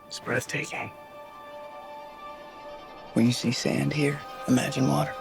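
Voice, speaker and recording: A man's voice: mid-range voice, flat delivery, measured pace, vocal fry, music in the background. An actor playing a proud, teasing desert man in a film.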